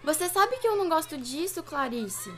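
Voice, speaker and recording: A woman's voice: high voice, sing-song, normal speed, a crowd in the background, background noise. A woman with a Brazilian accent playing a timid, worried young girl, hesitant, in a radio drama. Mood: worried, reluctant. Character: timid, fearful young girl reluctant to break rules.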